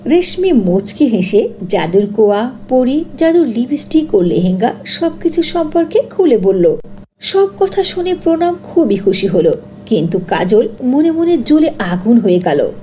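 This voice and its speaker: A woman's voice: a little high, sing-song, measured pace, warm tone. A woman narrating a scripted fairy tale for a cartoon.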